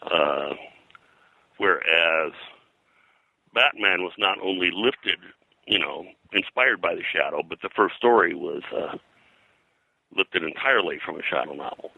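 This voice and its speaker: An older man, an interview guest, recounting history in a halting, discursive, scholarly way. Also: low-pitched, creaky, pushed and bright, husky, no echo, poor audio. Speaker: guest, comics and pulp fiction historian.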